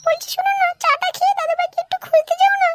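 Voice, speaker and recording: Casting: gentle cartoon grandmother, extremely high squeaky pitch, coaxing. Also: steady tone, very fast, very noisy. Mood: concerned, coaxing. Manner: coy, coaxing. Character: coy, gentle old wife, a cartoon grandmother.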